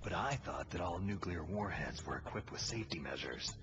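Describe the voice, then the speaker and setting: A man playing a terse, cool, hardened special-forces infiltrator in a video game cutscene, with a gravelly, breathy rasp. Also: deep voice, expressive, brisk. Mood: probing. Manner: terse, commanding. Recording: dull game audio under music. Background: loud music.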